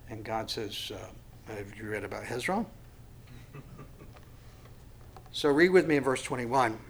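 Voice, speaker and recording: A man in his sixties leads an informal Bible study, speaking at an average pitch. He talks in a folksy, self-deprecating way, often restarting sentences and filling pauses with "uh.". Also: fairly even intonation, gravelly, slight background noise. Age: 60s. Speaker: pastor.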